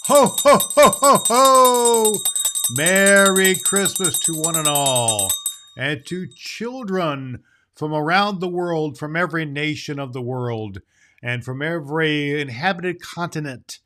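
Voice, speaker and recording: A man's voice: fairly high pitch, slow, over music. A man playing a jolly, kindly Santa Claus, chatting to children in a folksy, rambling way on a festive storytelling podcast. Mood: jolly, booming.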